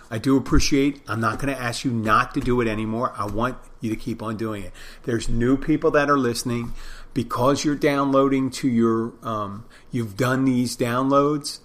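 Male podcast host, Philadelphia accent, medium pitch, loose off-the-cuff delivery, self-deprecating and prone to unfinished thoughts. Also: a bit bright. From Philadelphia, United States. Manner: meandering, self-deprecating, trails off mid-thought. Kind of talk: casual rambling solo podcast talk.

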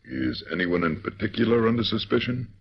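An actor playing an astonished, questioning male official in an old radio drama, with a gravelly voice. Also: lowish voice, sing-song, brisk, heavily acted. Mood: incredulous. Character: incredulous male official colleague. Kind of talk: 1940s radio acting. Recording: dull old radio recording, faint hiss.

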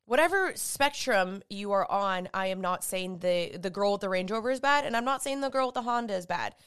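A young woman hosts her podcast in a chatty, upbeat way, peppering her talk with "like". Her voice is smooth and clear even through a stuffy, congested nose, and it sits at a middle pitch. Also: fairly quick. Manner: chatty, upbeat, with filler likes. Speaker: podcast host. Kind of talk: casual solo podcast talk.

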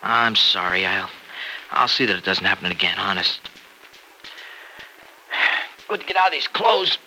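An American actor playing a tired, sheepish, earnest working husband, hesitant and colloquial, in 1940s radio soap style. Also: male voice, deep voice, very animated, a little slow, bright, forceful tone, exaggerated voice. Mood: tired, apologetic. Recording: noisy vintage radio recording.

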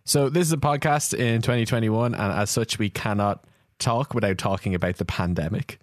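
A man's voice: fairly low pitch, moderate pace, dry recording. A man hosting a casual podcast, with understated, dry humor. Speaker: podcast host.

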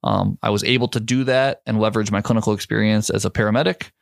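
A man's voice: fairly low pitch, steady tone, fast, vocal fry, no echo, studio quality. A man co-hosting a medical podcast, a nurse talking loosely with lots of "like" and restarts. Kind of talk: casual medical chat.

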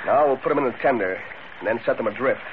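An actor playing a bossy, crafty gang leader in 1940s radio style, commanding and slangy. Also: male voice, medium pitch, fast talker, close to an everyday voice. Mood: satisfied. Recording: tinny, faintly noisy old radio recording.

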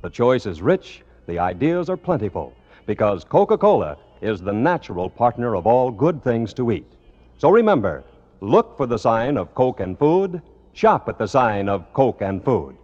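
A man's voice: on the low side, very animated, unhurried, darkish tone. A man announcing on 1950s radio in a polished, salesmanlike, scripted delivery. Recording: dull old radio broadcast, music behind.

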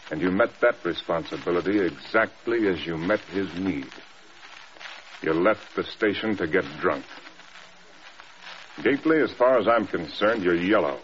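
Stern general for radio drama: clipped, commanding, lowish pitch, dull vintage sound. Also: male voice, fairly bright tone. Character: stern, hard-driving male air force general taking command. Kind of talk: mid-century radio drama acting. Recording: dull, noisy old radio recording.

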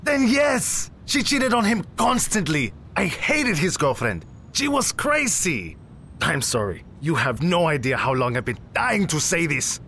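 A man's voice: a little high, measured pace, slight background noise, exaggerated voice. A man playing a friendly, dramatic young cafe owner, a loyal best friend, in an audio drama. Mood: exasperated, venting. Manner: expressive, teasing, emphatic. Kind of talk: naturalistic audio drama acting.

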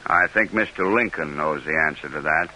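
An American actor playing a proud, shrewd middle-aged senator in an old-time radio drama. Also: male voice, rumbling low, brisk. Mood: guarded, firm. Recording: vintage radio broadcast recording.

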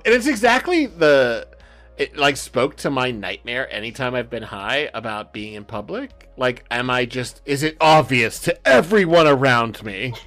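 Male, podcast co-host, lively swinging intonation, mid pitch, casual, self-interrupting. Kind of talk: casual chat.

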